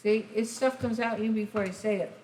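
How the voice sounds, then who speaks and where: A woman giving a casual tarot reading, rambling and speaking straight to the listener, her voice going hoarse. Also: medium pitch, fairly even intonation, fast, darkish tone. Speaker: tarot reader. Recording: echoey room, faint hiss.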